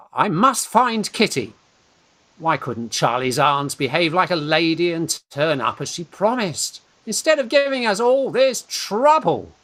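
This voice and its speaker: A man acts a lovesick, hot-headed young gent from a Victorian farce. He scolds with exasperation in a lively, swinging voice, placing his words deliberately and emphatically. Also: fairly high pitch. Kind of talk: Victorian farce radio acting.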